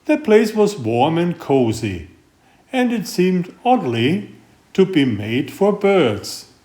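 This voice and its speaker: A man reading a picture book aloud in a gentle, unhurried storytelling manner. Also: fairly high pitch, sing-song. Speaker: storybook reader. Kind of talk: slow read-aloud picture book narration.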